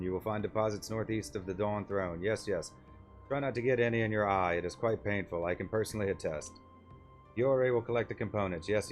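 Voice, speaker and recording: A man's voice: fairly low pitch, lightly acted. A man voicing a small, eager catfish creature from a game, fussy, formal and wordy. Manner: formal, repeats itself in synonyms.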